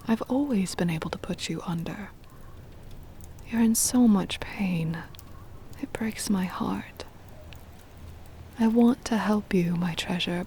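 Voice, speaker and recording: An ancient female vampire mistress, played by a woman speaks in a low, slow, intimate whisper with a creaky edge. She is possessive and doting, with formal, old-fashioned phrasing in an ASMR roleplay style. Faint noise sits behind the voice. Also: dark tone. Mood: pleading, tender. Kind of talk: intimate ASMR roleplay, scripted dramatic monologue.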